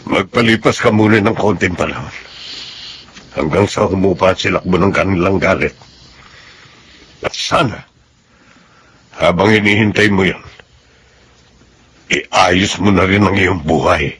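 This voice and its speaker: Low-voiced, stern middle-aged uncle. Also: male voice, unhurried, pauses often, fairly bright tone, slight background noise. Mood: advising. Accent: Filipino. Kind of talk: Filipino radio drama acting.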